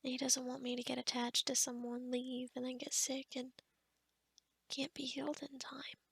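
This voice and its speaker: A woman playing a kind, sheltered young forest witch in a soft-spoken, half-whispered ASMR roleplay, gentle and hesitant with small stammers. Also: high voice, completely flat delivery, moderate pace, no echo, heavily acted. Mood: wistful, confiding. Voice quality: breathy, whispery.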